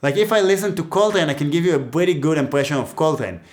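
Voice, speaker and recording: A man's voice: fairly high pitch, animated, fast. A man with an Israeli accent teaching music, talking casually and joking as he gives advice. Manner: chatty and joking, with many asides. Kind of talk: casual teaching talk to camera.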